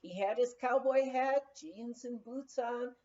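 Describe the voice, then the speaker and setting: A woman narrating a memoir as an audiobook reader, in an expressive storytelling manner on a slightly dull recording. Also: a little high, takes it slowly.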